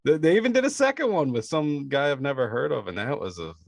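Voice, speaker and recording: A man's voice: medium pitch, sing-song, quick pace, gravelly. A man co-hosting a podcast, bantering casually and bluntly with friends and swearing freely. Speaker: podcast co-host.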